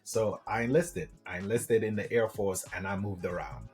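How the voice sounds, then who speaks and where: A man's voice: fairly low pitch, normal speed, soft background music. A man from Trinidad and Tobago, a podcast guest telling personal stories in an enthusiastic, conversational way. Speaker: podcast guest, business coach. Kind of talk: casual personal storytelling.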